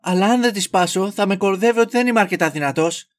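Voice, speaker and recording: A protective young man , voiced by a male actor, earnest and exasperated. He grumbles but clearly cares, in the style of an amateur audio drama. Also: high-pitched, natural voice. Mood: frantic self-talk.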